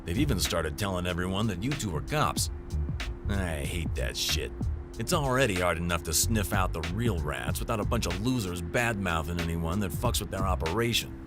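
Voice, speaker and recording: An actor playing a chatty, sly street informant in an audio drama, casual and insinuating. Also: male voice, deep voice, lively intonation, normal speed, over music. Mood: easygoing, griping.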